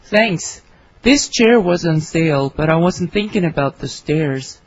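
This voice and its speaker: A woman reading her part in a scripted English conversation for learners, with clear, careful delivery. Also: deep voice, animated, unhurried, a little mellow, no room sound. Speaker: dialogue reader for English learners. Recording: dry, slightly dull studio recording.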